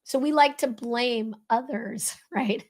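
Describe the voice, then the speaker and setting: A woman with a hoarse, crackly voice, briskly explaining a leadership framework as a podcast guest. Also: fairly high pitch. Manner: brisk, animated, coaching tone. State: hoarse, voice lost.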